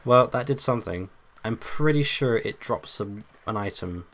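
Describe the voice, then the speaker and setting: A young man with a bassy voice, hosting a let's play with casual, joking commentary and humming. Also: animated, normal speed, a little hiss or hum. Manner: joking, hums and sings to himself, loud bursts.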